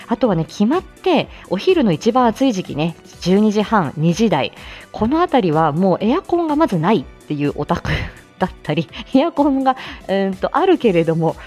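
A woman hosting a casual live morning audio show, chatting to listeners in a lively, expressive way with frequent laughter. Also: lowish voice. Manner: chatty, laughs often while talking. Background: background music.

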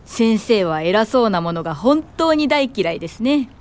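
A woman's voice: medium pitch, very animated, normal speed, natural voice. A woman playing a respectful, admiring young assistant who narrates and acts in a radio drama. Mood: concerned, respectful. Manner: polite, respectful.

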